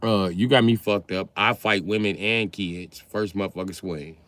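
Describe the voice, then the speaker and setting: A man's voice: low-pitched, steady tone, normal speed, a bit bright. A middle-aged man livestreaming a profane, boastful comedic rant.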